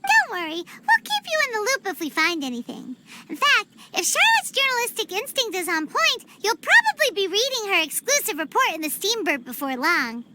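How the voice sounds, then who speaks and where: A woman voicing a tiny, excitable floating companion girl in a video game cutscene, chatty and referring to herself in the third person. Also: very high voice, moderate pace, hardly any pauses, heavy background noise, heavily acted.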